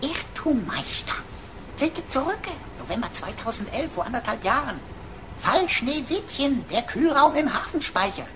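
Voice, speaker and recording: Sci-fi radio sidekick: cheeky machine, lively high voice, clear noise behind. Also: female voice, normal speed. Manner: pert, teasing. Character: cheeky, chirpy computer sidekick correcting its master. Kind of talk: radio sci-fi drama acting.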